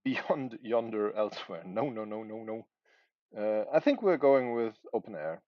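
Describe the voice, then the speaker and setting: A man's voice: on the low side, lively intonation, normal speed, no room sound, highs cut off. A man in his forties whose first language is German, thinking aloud as he writes on a livestream, hesitant and searching for words. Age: 40s. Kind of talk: thinking aloud while writing live.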